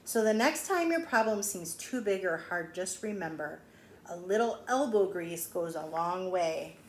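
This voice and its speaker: A woman's voice: fairly low pitch, expressive, a little slow. Female storyteller for young children, emphatic teacherly read-aloud with asides, roomy echo and light background noise. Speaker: children's teacher reading a picture book aloud. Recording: echoey room with faint background noise.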